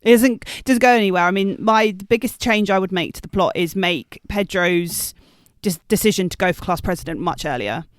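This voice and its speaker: A woman's voice: mid-range voice, fairly quick, hardly any pauses, no echo, studio quality. A woman co-hosting a casual film podcast, giving her opinions in an analytical, conversational way. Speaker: podcast co-host.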